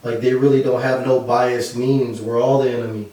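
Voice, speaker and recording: A man's voice: medium pitch, flat delivery, moderate pace, dark tone. A man interviewing a friend, talking emphatically and casually, backing up his guest. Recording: very echoey room, mic far off.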